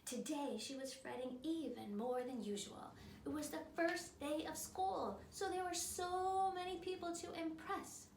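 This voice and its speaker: A woman reading a children's story aloud in a theatrical, emphatic, expressive manner. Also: high voice, measured pace. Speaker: drama teacher. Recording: roomy, distant mic, noisy background.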